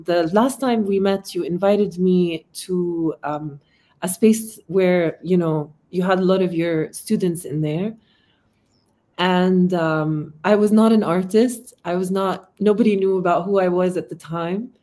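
A woman's voice: lowish voice, unhurried, dark tone. A woman in her thirties with an Arabic accent, a guest on a video call, talking reflectively and often searching for words. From Palestinian, based in Amman. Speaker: interview guest, artist. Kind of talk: casual conversation. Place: home room, video call. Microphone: laptop mic on video call.

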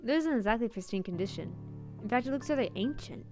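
A woman's voice: on the high side, lively intonation, quick pace. Woman dramatically reading a horror game aloud. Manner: dramatic read-aloud with stumbles. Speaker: game streamer. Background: game music.

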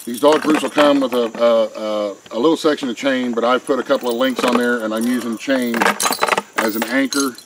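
A man's voice: medium pitch, fairly even intonation, a little fast, very noisy. A middle-aged man, explaining step by step in a plain, folksy way how to set a trap, recorded outdoors on a camera mic. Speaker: homesteader showing how to set traps. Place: outdoors by a pen and a wooded creek. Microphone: camera mic, handheld camera close by. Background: faint outdoor insects and crickets.

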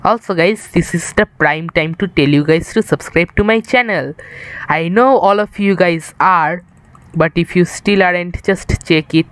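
A young man from India talks to his viewers in an unhurried, drawn-out way as he plays, his voice sitting a little high. He rambles loosely, sounds worn out, and uses an improvised setup. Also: fairly bright tone, clean tone, no echo, rough recording. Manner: rambling, chatty, addresses viewers. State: tired. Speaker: streamer. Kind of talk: casual live-stream chat while gaming.

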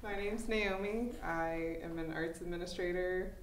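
A woman's voice: lowish voice, steady tone, unhurried, a little hiss or hum. Terse young woman, distant and roomy. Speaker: student. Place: library classroom.

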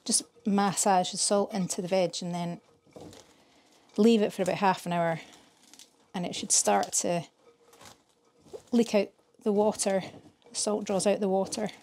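Mellow-voiced woman chatting in her kitchen. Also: mid-range voice, fairly even intonation, a little slow, a little hiss or hum. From Scotland. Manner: chatty, explanatory, with fillers. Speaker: vlogger. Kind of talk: casual narration while cooking. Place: home kitchen by a window.

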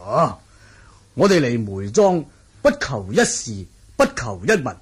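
Shrewd wuxia wanderer, Hong Kong Cantonese, very husky, lively intonation, persuasive, old radio drama. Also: male voice, mid-range voice, a little slow, full character voice. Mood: earnest, persuasive. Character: shrewd, jovial, smooth-talking male martial-world wanderer.

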